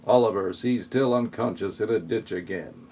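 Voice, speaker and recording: A man's voice: lowish voice, normal speed, darkish tone. A man narrating a comic parody audio play in a wry, mocking manner.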